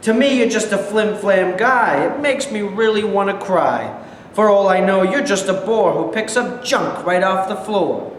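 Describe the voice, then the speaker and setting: A man acts out a jealous, belligerent tough guy on stage, taunting a rival in a notably high voice. The room rings with heavy echo over faint noise. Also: expressive, unhurried. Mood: menacing, taunting. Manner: confrontational, taunting. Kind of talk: staged reading of a stage play. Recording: very echoey hall, faint background noise.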